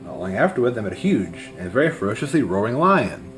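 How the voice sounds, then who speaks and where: A man reading a children's story aloud as scripted narration, in an expressive way. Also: fairly low pitch, fast. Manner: expressive read-aloud delivery. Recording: music behind the voice.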